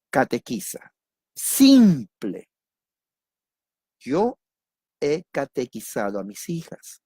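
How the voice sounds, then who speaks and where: Peruvian preacher with a dry, close sound. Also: male voice, fairly high pitch, husky, dry recording. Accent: Peruvian Spanish. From Peru. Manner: repeats key words, asks and answers his own questions; lively, jokes with listeners. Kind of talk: teaching sermon on doctrine.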